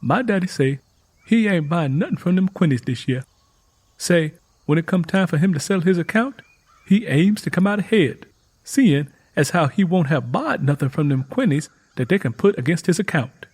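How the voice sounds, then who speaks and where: An eager, pleading Southern country girl played by a man in an audiobook. The voice is pitched high and a little husky, with chatty, folksy rural phrasing. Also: lively intonation, moderate pace, a little mellow. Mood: earnest, chatty. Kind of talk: audiobook character voice.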